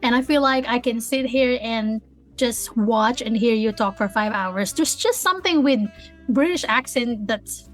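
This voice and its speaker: A woman's voice: fairly high pitch, measured pace, soft background music. A young woman with a Filipino accent, hosting a podcast interview in a friendly, chatty way with many fillers. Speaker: podcast host.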